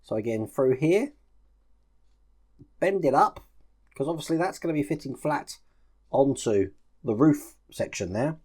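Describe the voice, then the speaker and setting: A man's voice: medium pitch, lively intonation, measured pace, long pauses, a little mellow, breathy edge. A man chatting casually through a step-by-step build tutorial, explaining each move as he works. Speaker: host of a model-building channel.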